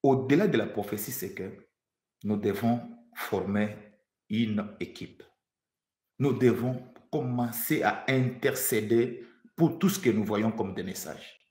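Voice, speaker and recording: A man's voice: fairly low pitch, takes it slowly, darkish tone, roomy sound. A man from the Democratic Republic of the Congo preaching a prophetic sermon, fervent, repeating phrases for emphasis and stammering when he restarts.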